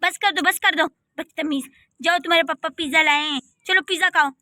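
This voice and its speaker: Woman playing a sharp, scolding mother. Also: very high-pitched, brisk, dry recording, studio quality. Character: strict, scolding young mother.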